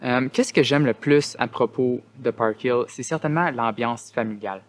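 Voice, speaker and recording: A young man from northern Ontario with a Canadian French accent, giving an earnest personal testimonial as a student resident, often pausing on fillers and restarting his phrases. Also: medium pitch. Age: young adult. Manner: earnest, hesitant with frequent "euh" fillers and restarts.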